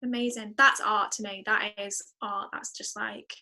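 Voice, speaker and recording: A woman's voice: mid-range voice, flat delivery, moderate pace, gravelly, sharp tone. A woman musician chatting casually as an interview guest, effusive and emphatic, repeating words for emphasis. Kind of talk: casual podcast interview chat.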